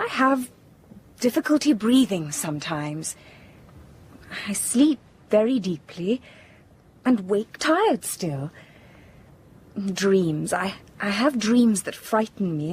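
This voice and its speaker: A woman's voice: medium pitch, very animated, slow pace, long pauses, darkish tone, slightly rough, heavily acted. An actress playing a genteel, frail young Victorian lady in an audio drama, brave but weary and hesitant. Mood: reluctant, weary. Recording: faint background ambience.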